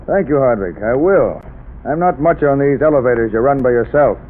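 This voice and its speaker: A man playing a brisk, official police commissioner in a 1930s radio drama. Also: mid-range voice, animated, close to an everyday voice. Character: gruff, no-nonsense male police commissioner. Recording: tinny old radio broadcast.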